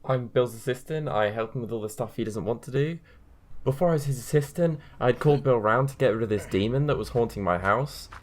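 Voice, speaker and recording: A man's voice: medium pitch, fairly quick, slight background noise, natural voice. A man playing a put-upon, earnest assistant to a monster hunter in a comedy cartoon, explaining his job meekly as if to a documentary camera. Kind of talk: mockumentary comedy voice acting, talking to camera.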